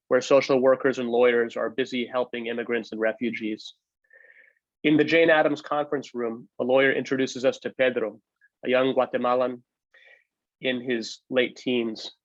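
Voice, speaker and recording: A man's voice: medium pitch, no echo. Man reading aloud, dry muffled sound. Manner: measured phrase-by-phrase reading, occasional slips. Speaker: book co-editor reading aloud. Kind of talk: read-aloud oral history narrative.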